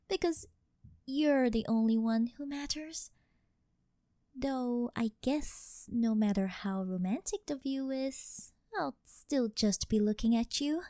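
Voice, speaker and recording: A woman playing a rich, possessive older girlfriend who dotes and coaxes in a sugary, drawn-out way, in an ASMR roleplay. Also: high voice, mellow, no room sound, studio quality. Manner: coaxing, sugary, drawn-out pet-name delivery.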